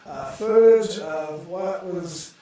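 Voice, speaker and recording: A man hosting a casual talk show, with a hoarse voice that is giving out and a halting, repetitive delivery. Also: high voice, dark tone, recorded from a distance. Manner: halting, repeats words. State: hoarse, losing his voice. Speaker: radio show host. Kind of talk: casual chat. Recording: echoey room, off-mic, faint noise.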